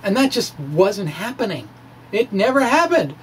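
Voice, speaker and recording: A middle-aged man talks through science in a small room, with noticeable noise behind him. His delivery is informal and halting, full of musing hums, with a theatrical, animated turn to his phrasing. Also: sits high. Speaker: science video blogger. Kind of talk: informal dramatic reading of a physics blog to camera. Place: small indoor room with bookshelves. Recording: clear background room noise.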